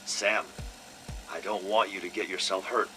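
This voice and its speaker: Stern intelligence team leader, low-pitched, controlled and serious, game audio log. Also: male voice, flat delivery, measured pace, pushed and bright, faint music behind, poor audio. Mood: stern, worried. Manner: controlled, grave.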